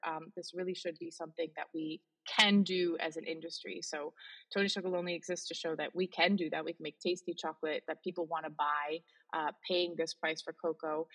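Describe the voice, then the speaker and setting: A woman's voice: on the low side, fairly even intonation, brisk, fairly bright tone, husky. A Belgian woman in her forties whose first language is Flemish, speaking with an American accent as an interview guest, earnest and emphatic with frequent fillers. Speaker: guest, sustainability leader in the chocolate industry. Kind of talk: interview conversation.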